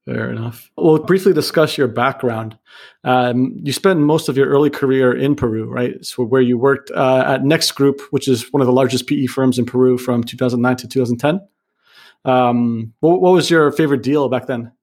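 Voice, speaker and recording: A man hosting an interview podcast, reading a measured intro and then asking questions in a friendly, chatty way. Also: medium pitch, a little mellow. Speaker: podcast host. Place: Kuala Lumpur.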